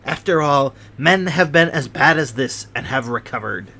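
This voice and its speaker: A man voicing a dying, greedy old army major who confesses his guilt in a slow, heavy delivery. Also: medium pitch, lively intonation, bright, forceful tone. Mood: confessing.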